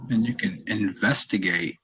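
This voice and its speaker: A man leading a guided meditation in a calm, unhurried, halting way with long pauses and a husky voice. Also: lowish voice, rough recording. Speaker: meditation leader, podcast host. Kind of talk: guided meditation, softly spoken instruction.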